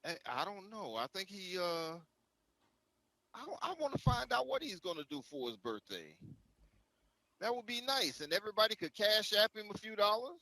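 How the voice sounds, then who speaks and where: A man's voice: sits high, big pitch swings, normal speed, bright, forceful tone, no room sound, low-quality recording. A man chatting casually as a show co-host, exuberant and emphatic in his delivery. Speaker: show co-host presenting a word-of-the-day segment. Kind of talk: casual chat.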